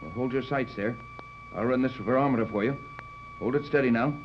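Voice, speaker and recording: An American man acting a gruff, practical sea captain and inventor in a 1930s radio serial, brisk and plain-spoken. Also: medium pitch, lightly acted. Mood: intent, instructing. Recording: muffled, noisy 1930s radio recording.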